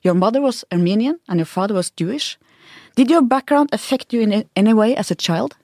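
A woman's voice: fairly high pitch, expressive, brisk, warm tone, no room sound, studio quality. A woman interviewing a guest, asking direct and pointed questions.

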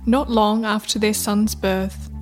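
A woman's voice: mid-range voice, steady tone, takes it slowly. A woman narrator reading a scripted radio play in a storybook style. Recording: faint music under narration.

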